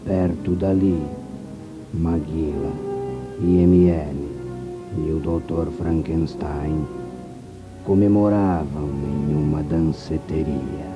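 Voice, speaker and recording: A man playing a solemn narrator of a comic radio play, reading dramatic scripted narration. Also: extremely low pitch. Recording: dull lo-fi radio recording.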